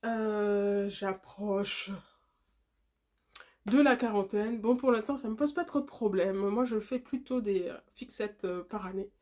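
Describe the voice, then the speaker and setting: A woman in her late thirties from Guadeloupe, chatting casually to the camera in a rambling, talkative way, often laughing and filling pauses. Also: medium pitch, dark tone. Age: 30s. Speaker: vlogger.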